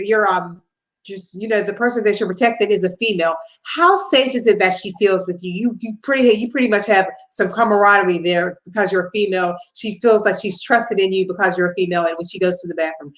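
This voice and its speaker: A woman's voice: mid-range voice, a bit bright, reverberant, low-quality recording. A woman hosting an interview, asking long, rambling questions with many restarts. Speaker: host.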